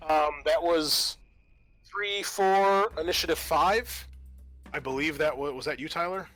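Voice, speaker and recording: A man works as game master for a tabletop horror game, his voice bright and forward. He talks informally, reasoning through rules aloud between bits of narration. Faint music sits behind him. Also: on the high side, unhurried, rough recording.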